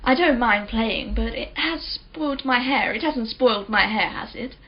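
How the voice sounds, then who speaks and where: A woman's voice: on the high side, moderate pace, faint music behind, natural voice. An actress playing a wealthy, well-spoken woman in her forties in a radio play, chatty, polite and self-assured. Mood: breezy, chatty. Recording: slightly dull, faint crowd behind.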